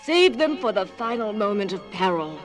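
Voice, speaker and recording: A woman's voice: medium pitch, sing-song, normal speed, natural voice. A woman playing a wise, kindly, eccentric old otherworldly lady in an audio drama, theatrical and reassuring. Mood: earnest, wise. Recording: faint music under the voice.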